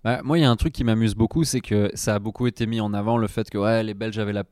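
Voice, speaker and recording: A young man from Switzerland acting as interviewer in a comic mock interview, asking playful, drawn-out questions. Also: on the low side, steady tone, darkish tone, smooth, no room sound, studio quality.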